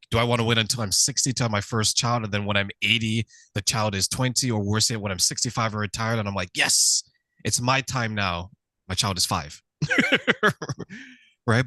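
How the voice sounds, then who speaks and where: Man, interview host, humorous, animated, dry close recording. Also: lowish voice, no echo, low-quality recording. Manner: humorous, animated storytelling with playful voices. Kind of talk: casual interview conversation.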